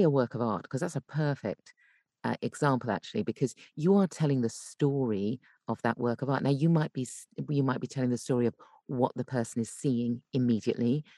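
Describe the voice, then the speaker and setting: A woman explaining conversationally as a podcast guest and voice coach, expressive and emphatic, using deliberate pauses. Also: very deep voice, dry recording, clean studio sound.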